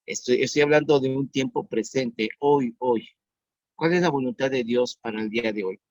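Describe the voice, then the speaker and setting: A man in his fifties preaching an online sermon as pastor, earnest and repeating his questions slowly for emphasis. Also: mid-range voice, darkish tone, no echo. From Mexico. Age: 50s. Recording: dull dry sound.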